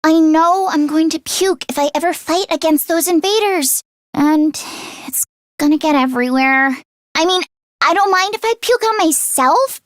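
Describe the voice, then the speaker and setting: High pitched fretful teen girl for a video game, sweet n easily flustered, dry close sound. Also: dry recording. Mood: nervous, embarrassed. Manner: expressive, fretful, earnest. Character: anxious teenage girl mechanic, self-deprecating, sweet, easily flustered. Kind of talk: video game character voice acting.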